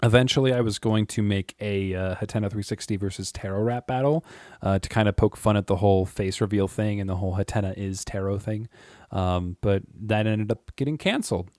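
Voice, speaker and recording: A man with a deep voice, chatting casually and rambling to his viewers, with frequent chuckles and filler words. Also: brisk, a little mellow, dry recording, clean studio sound. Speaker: YouTube creator. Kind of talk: casual monologue to camera.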